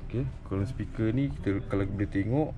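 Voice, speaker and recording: A Malaysian man with a fairly low voice talks through technical points in a casual way. He hesitates often and searches for words as he explains. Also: a little fast, narrow, tinny audio. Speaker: audio specialist. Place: small cluttered office, indoors.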